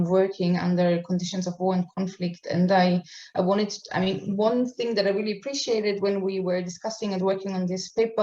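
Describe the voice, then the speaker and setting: A woman moderating a conference roundtable, handing questions to the panelists in a steady, level way. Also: medium pitch. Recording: dull, echoey room audio.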